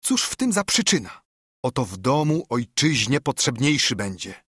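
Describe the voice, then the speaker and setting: A man's voice: mid-range voice, very animated, moderate pace, a bit bright, husky, dry recording, studio quality. A man voicing a brooding, urgent monk who admonishes and reasons earnestly, in a dramatised verse audiobook. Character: brooding, urgent monk, scolding and pleading with a friend.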